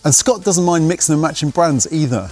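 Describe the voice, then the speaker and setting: A young man narrating a scripted mountain bike review in a brisk, technical, matter-of-fact way, speaking outdoors in woodland on a lapel mic. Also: fairly high pitch, expressive, a little mellow. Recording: faint music underneath.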